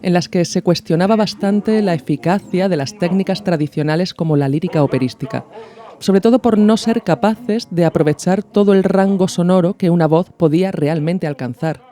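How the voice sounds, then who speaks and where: A male podcast host narrates from a script in an explanatory way. His voice sits a little high for a man, with a mellow, relaxed tone. The phrasing is measured and educational.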